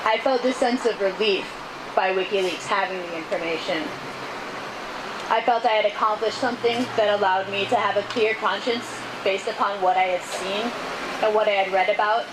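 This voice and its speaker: A woman giving a measured, earnest reading of prepared testimony aloud at an outdoor rally. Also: medium pitch, fairly even intonation. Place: outdoors at a city street rally. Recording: noisy outdoor street recording.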